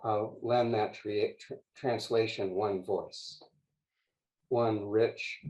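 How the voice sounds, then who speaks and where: An older man, a poet guest, speaking in a measured, halting way on a video call from a home study lined with books. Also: on the low side, fairly even intonation, darkish tone, low-quality recording.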